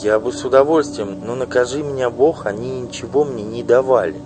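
A man's voice: mid-range voice, fairly quick, music in the background, pitched above the performer's own voice, natural voice. Male reader as a whiny, defensive young singer in a storybook, raised voice. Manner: timid, evasive, whining. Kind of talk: audiobook reading.

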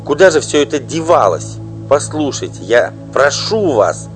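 A man voicing an indignant wronged wife who pleads and accuses in a high, loud voice for an audiobook. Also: expressive, measured pace, soft background music. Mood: pleading. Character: indignant wronged wife, middle-aged woman. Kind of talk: audiobook reading.

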